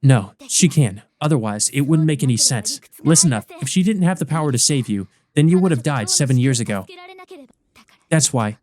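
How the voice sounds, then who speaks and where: A man's voice: medium pitch, animated, normal speed, a little mellow, lightly acted. A man voicing a stern, protective young woman, a senior schoolmate in a visual novel, insistent and scolding. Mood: urgent, insistent.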